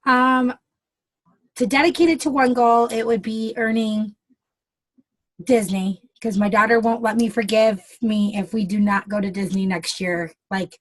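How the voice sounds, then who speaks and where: A woman speaks up on a team call, her voice sitting a little high. She stops and starts, filling the gaps as she goes. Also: steady tone, unhurried, low-quality recording. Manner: hesitant, with many fillers. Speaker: team member on a video call. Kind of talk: casual introduction.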